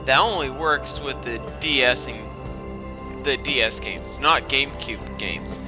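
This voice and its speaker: Sarcastic man narrating over loud game music. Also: medium pitch, slow. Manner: sarcastic, profane, exclamatory asides. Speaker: storytime narrator. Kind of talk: dramatic reading with mocking commentary. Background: video game music.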